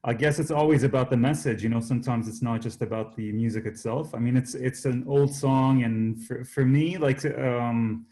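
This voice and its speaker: A man with a mid-pitched voice answering interview questions as a musician guest. He talks casually and hesitantly, often repeating words as he finds his way. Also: steady tone, normal speed, few pauses, mellow. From Jordanian-born, Canada. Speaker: singer-songwriter guest.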